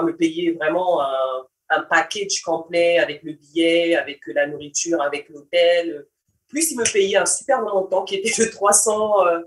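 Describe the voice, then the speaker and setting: A Cape Verdean woman in her thirties, a native Portuguese speaker and samba teacher, telling her story in a relaxed way and slipping in English words. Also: lowish voice. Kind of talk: casual personal account. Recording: voice from far off the mic.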